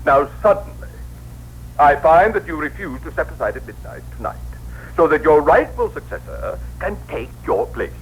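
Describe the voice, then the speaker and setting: An actor playing a fussy, officious director of a celestial bureau, with pompous, formal diction, in 1960s radio drama style. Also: male voice, a little high, very animated, normal speed, slightly rough. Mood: pompous, appalled. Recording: noisy vintage radio broadcast recording.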